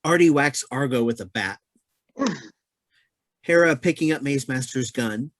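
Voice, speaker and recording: A man's voice: medium pitch, measured pace, dry recording. A man reading stage directions as scripted narration for a play.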